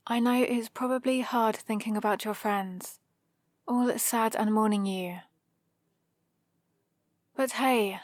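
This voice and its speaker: A British woman playing a proud, scheming supervillain with a soft spot, in a teasing, intimate ASMR roleplay. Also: on the high side, dry recording. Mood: reluctant concern. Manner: teasing, sardonic, casually conversational. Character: proud scheming female supervillain, gruffly caring for a rescued hero.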